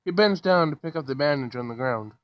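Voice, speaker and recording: Male gaming host, fairly high-voiced, for informal visual novel narration with reactive asides. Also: animated, fast talker, a bit bright, dry recording. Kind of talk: casual visual novel read-aloud with commentary.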